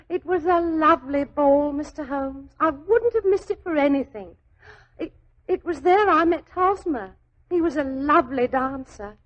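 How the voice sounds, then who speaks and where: An actress playing a naive, devoted young typist, anxious, chatty and deferential, in 1940s radio style. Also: female voice, high voice, lo-fi sound. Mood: wistful, chatty. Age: young adult. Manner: deferential, chatty, halting when flustered. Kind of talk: 1940s radio drama acting. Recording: old 1940s radio drama recording.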